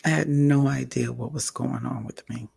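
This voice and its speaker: A woman vlogger with a hoarse, raspy voice, giving a candid personal update in a slow, halting way and often clearing her throat. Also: a bass voice, steady tone, a little mellow. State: hoarse, recovering from a stroke. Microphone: audio only, home recording.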